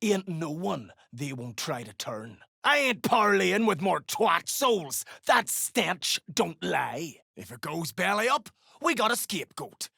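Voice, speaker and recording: Fantasy game gang boss, female duergar: growling, slangy, menacing, unhurried, with very animated pitch swings. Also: dry recording, studio quality, exaggerated voice. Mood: gruff, scheming. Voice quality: gravelly, growling. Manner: gruff, crude, slangy, threatening. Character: foul-mouthed, menacing duergar mercenary gang boss, greedy for coin. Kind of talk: video game character dialogue.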